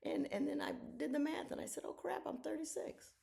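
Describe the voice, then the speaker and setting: A woman in her sixties talking casually to viewers from home in the early morning, giving frank, folksy advice and sometimes dropping to a soft half-whisper. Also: high voice, a little fast, gravelly, slight background noise. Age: 60s. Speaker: retired judge giving life advice. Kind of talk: casual reflective talk to viewers. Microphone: camera she leans toward.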